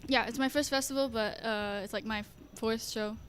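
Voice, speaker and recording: A woman's voice: fairly high pitch, steady tone, fairly quick. A teenage girl from Singapore, a young singer answering interview questions in a shy, hesitant way with many fillers. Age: teens.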